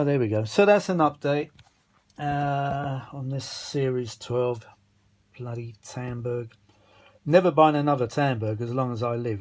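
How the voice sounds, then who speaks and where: A man's voice: medium pitch, darkish tone. A tired man with a British accent giving a casual, rambling, unscripted repair commentary, muttering and grumbling with mild swearing as he searches for words. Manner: grumbling, mildly sweary, exasperated. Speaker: vintage audio repair vlogger.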